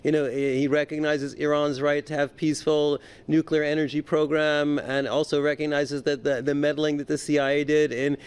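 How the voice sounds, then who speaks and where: A man's voice: on the high side, flat delivery, normal speed, few pauses, smooth. A man giving unscripted political commentary as an interview guest, stammering and often repeating his words as he goes.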